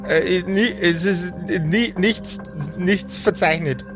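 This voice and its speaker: A man playing an officious, stammering supervisor on a superhero agency hotline, speaking formally and haltingly. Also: high-pitched. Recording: music behind the voice.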